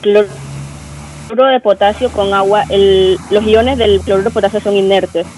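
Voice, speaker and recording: A man's voice: sits high, fairly even intonation, unhurried, a little mellow, slight background noise, poor audio. A male student in an online chemistry class, answering the teacher's questions politely and formally.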